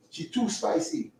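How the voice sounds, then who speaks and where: A man's voice: a little mellow, recorded from a distance, a little hiss or hum. Teasing man in echoey room. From Caribbean. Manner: teasing, talkative, quick asides. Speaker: livestream host. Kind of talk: casual chat. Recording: echoey room, away from mic.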